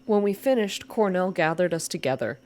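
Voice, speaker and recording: Low-pitched woman reading a story, ASMR style. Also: lively intonation, brisk, a little mellow. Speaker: reader.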